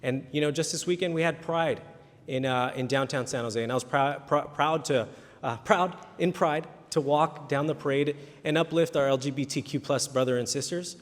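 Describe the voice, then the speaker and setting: A man's voice: on the high side, normal speed. A man answering questions at a candidate forum, earnest, often restarting his words. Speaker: city council candidate. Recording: reverberant hall.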